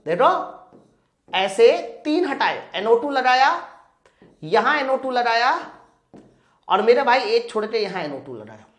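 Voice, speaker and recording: A woman teaching an exam-prep class, posing questions, answering them herself and repeating key points. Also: fairly high pitch. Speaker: science teacher. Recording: echoey room sound.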